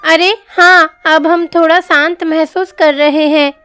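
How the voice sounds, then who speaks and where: Anxious, respectful pupil female performer, high voice, storybook tale, music bed. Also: steady tone, slow, pushed and bright, full character voice. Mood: relieved, earnest. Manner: respectful, earnest. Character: earnest, respectful, anxious schoolchildren seeking their teacher's guidance.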